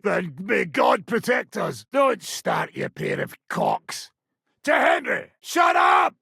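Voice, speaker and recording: Gravelly old robber baron. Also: male voice, sits high, wildly swooping pitch, slow, bright, forceful tone, no echo, raised voice, clean studio sound, exaggerated voice. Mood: loud, rowdy. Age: older. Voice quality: rough, raspy, gravelly. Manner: blunt, profane, sardonic. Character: foul-mouthed old wanted robber baron, gruff war-band leader. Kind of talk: video game character dialogue.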